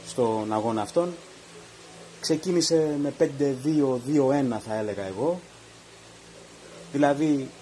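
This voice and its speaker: A man's voice: mid-range voice, mellow, slight background noise, low-quality recording. A man hosting a podcast, giving an opinionated minute-by-minute review of a football match. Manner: opinionated, reads out events minute by minute. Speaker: podcast host. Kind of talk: post-match commentary monologue.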